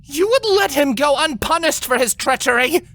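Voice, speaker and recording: Lady doing a fierce young pony princess, high pitched, growly and urgent, swoopy fantasy story drama. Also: animated, normal speed, a bit bright. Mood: furious, urgent. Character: defiant, hot-headed younger alicorn princess, wounded and vengeful. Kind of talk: dramatic fan fiction reading.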